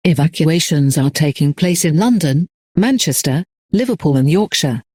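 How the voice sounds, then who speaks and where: A man delivers a scripted emergency announcement as a broadcast announcer. His voice sits a little higher than most men's. He speaks formally and with measured phrasing, in a very dry sound with no room around it. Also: lively intonation, a little mellow, husky, dry recording.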